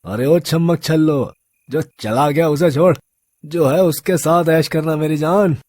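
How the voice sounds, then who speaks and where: A man's voice: fairly high pitch, a little slow, darkish tone, dry recording, natural voice. A man playing a drunk, lecherous local thug boss in a story, leering and drawling street slang. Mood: lewd, taunting. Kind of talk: Hindi audio story character acting.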